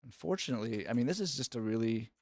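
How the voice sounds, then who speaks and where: A man's voice: medium pitch, expressive, fast, creaky, darkish tone, no echo. A man giving analytical play-by-play sports commentary in an instructive, explanatory way.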